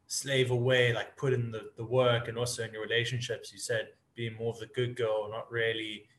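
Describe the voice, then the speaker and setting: A man's voice: mid-range voice, level tone, normal speed. A man from Africa, hosting an interview and asking long, rambling questions. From South Africa. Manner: rambling questions, soft affirmations. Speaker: podcast host. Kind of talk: casual interview. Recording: roomy echo.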